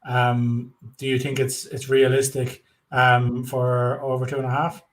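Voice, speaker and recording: A man co-hosting a live betting stream speaks in short, plain remarks. His pitch stays level and flat, without much lift, in a low-key, casual manner. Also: measured pace. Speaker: co-host.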